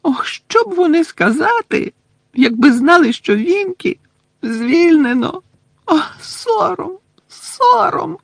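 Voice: mid-range voice, sing-song, measured pace, long pauses, darkish tone, slightly rough, no room sound, clean studio sound, voice pushed up, exaggerated voice. A reader voicing a tearful, devoted female house-elf who sobs and talks in broken grammar about herself in the third person. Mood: wailing. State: sobbing.

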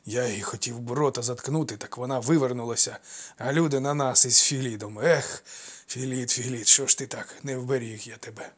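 A man voicing an old male ex-soldier, out of breath and wheezing, talking loud and urgent. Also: mid-range voice, close to an everyday voice.

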